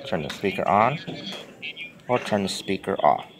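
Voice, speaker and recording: Guy with a lowish voice doing a real slow how-to, short repeated phrases, noisy handheld recording. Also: lively intonation. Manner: plain, halting short phrases, repetitive. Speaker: tutorial presenter. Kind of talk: simple step-by-step phone tutorial.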